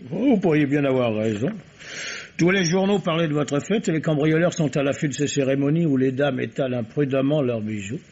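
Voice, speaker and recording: An actor playing a shrewd police commissioner in an old radio mystery, polite, probing and drily ironic. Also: male voice, a little high, a little fast, darkish tone. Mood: polite, knowing. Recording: dull vintage radio drama recording.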